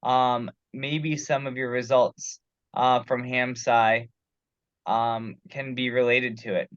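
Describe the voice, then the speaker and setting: A man's voice: medium pitch, little pitch movement, slow, clear, resonant tone, dry recording. A man talking through technical material in a casual discussion on a teleconference call. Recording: muffled, lo-fi conference call audio.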